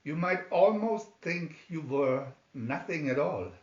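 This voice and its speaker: A man's voice: fairly high pitch, animated, slow pace. A man voicing a sly storybook swindler posing as a weaver, with showy, ingratiating sales patter. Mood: flattering. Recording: roomy, dull lo-fi home recording.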